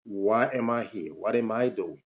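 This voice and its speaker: A man's voice: a little slow, muffled recording. A man, a motivational speaker telling his life story to camera, emphatic and rising sharply on his questions. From Zambia. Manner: emphatic, pitch jumps up on rhetorical questions.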